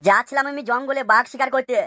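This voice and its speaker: Woman voicing a high, fast, pompous rich boy. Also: fairly even intonation, pushed and bright, no echo, studio quality. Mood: haughty, scolding. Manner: pompous, scolding. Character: boastful, touchy young landlord's son, cartoon boy. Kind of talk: exaggerated comic cartoon dialogue.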